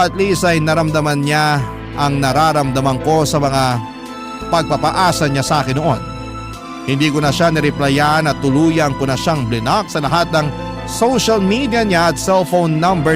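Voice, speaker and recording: A man reading a first-person love story as a radio host, in a measured, expressive, dramatic storytelling style. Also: fairly high pitch. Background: music.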